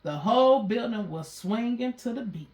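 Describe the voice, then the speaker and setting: A woman's voice: a little high, lively intonation, moderate pace, background noise. A woman reading a children's story aloud as a narrator. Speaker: storybook reader. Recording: roomy, echoey sound.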